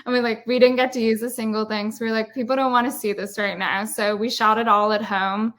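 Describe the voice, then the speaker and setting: Irish woman, bright tone, chatty filler-heavy guest on a video call. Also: on the high side, little pitch movement, fast. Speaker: guest speaker, brand marketer. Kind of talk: casual webinar interview.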